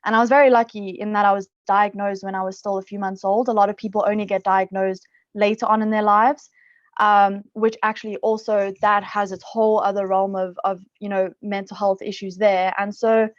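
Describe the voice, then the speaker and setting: A woman's voice: mid-range voice, flat delivery, a little fast, no echo. Chatty upbeat woman from South Africa. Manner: chatty, upbeat, many fillers and restarts. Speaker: podcast guest, psychologist. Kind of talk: casual interview talk. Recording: dull lo-fi dry sound.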